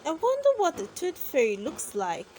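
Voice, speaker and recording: A woman's voice: high-pitched, wildly swooping pitch, moderate pace, darkish tone, a little hiss or hum, voice pushed up, poor audio. A woman voicing a small, very curious storybook girl who is eager and full of wondering questions. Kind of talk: children's storybook character.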